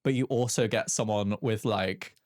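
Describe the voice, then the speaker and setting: A man co-hosting a casual chat podcast, chatty and quick to laugh, trailing off with fillers. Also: fairly low pitch, darkish tone, no echo, clean studio sound. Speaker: podcast co-host.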